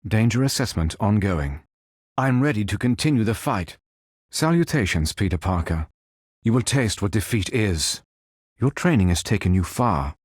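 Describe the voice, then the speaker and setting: A male actor voicing a calm, stately android superhero in a video game, speaking in formal, measured, precise phrases. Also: lowish voice, breathy edge, dry recording, clean studio sound. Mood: courteous, formal, then stern, defiant. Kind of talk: scripted game voice lines.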